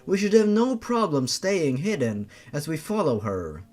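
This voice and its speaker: A man's voice: fairly high pitch, sing-song, a little slow, darkish tone. A man voicing a polite, composed young woman with a vengeful streak, in an audio horror story. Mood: relieved.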